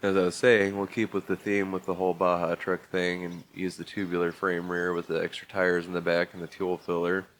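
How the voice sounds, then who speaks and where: A man's voice: low voice, level tone, a little fast, hardly any pauses. A man hosting a racing game video, talking casually through a vehicle build while congested from a bad cold. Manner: easygoing, methodical walkthrough with fillers. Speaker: gaming video host. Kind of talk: casual gameplay commentary.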